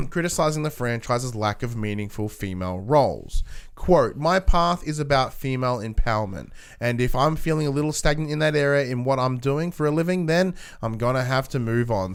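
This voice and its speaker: A man with a clear, smooth voice and mid pitch hosts a casual film podcast. He moves between reading news items and offering blunt opinions, and he is happy to swear. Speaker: podcast host.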